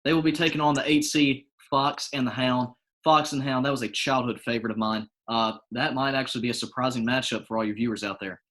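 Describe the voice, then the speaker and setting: A man's voice: medium pitch, steady tone, fast talker, few pauses, fairly bright tone. A man giving mock-serious sports-style analysis as a correspondent, reading out tournament seedings.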